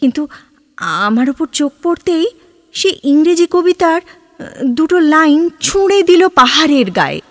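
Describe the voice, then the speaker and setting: A woman's voice: high-pitched, big pitch swings, measured pace, long pauses, no room sound, clean studio sound. An actress playing an ardent, wistful young woman in a Bengali audio drama, with hesitant repeats and emotional exclamations. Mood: eager, wondering. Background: background music.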